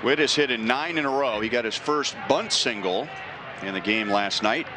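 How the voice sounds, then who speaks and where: A man calls baseball play by play in a steady, informative voice of middle pitch. He talks into a headset mic in a ballpark booth. Crowd and stadium noise sit clearly behind him. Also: moderate pace. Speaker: play-by-play announcer.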